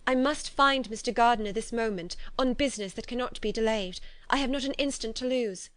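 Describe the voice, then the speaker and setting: A woman's voice: on the high side, brisk, fairly bright tone, no room sound, pitched above the performer's own voice, lightly acted. A woman reading the part of a distraught young woman, her voice anguished and pleading as if on the edge of tears. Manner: anguished, pleading, breaking with emotion. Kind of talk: audiobook character voice.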